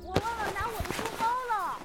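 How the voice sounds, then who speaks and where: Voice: very high-pitched, brisk, pushed and bright, faint music behind. A performer playing a small, polite child pupil in a Chinese period audio drama.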